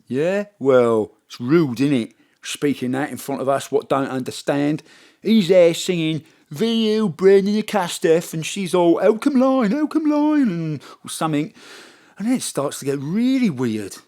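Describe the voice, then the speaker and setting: A boastful, uncouth British crook. He blusters in slangy, ungrammatical bursts, his pitch leaping up and down as he goes. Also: animated, a little slow, mellow. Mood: indignant, gossipy. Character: cocky, uncouth small-time thief, male, slangy and boastful. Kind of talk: comic audio drama acting.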